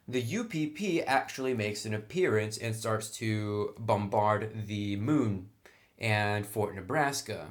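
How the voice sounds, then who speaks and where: A man's voice: on the low side, fairly bright tone. A man giving a casual, explanatory review as a tabletop game reviewer, with some enthusiasm. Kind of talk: casual product review talk.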